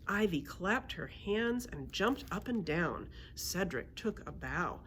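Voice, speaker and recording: A woman narrating a children's storybook aloud in an expressive read-aloud style. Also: mid-range voice, takes it slowly, a little hiss or hum. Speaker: librarian reading aloud.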